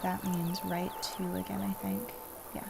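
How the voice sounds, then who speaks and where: A woman's voice: lowish voice, flat delivery, unhurried, vocal fry. A woman giving casual gameplay commentary, murmuring as she thinks aloud. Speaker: video game player and host. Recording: loud game music behind voice. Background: game music and sounds.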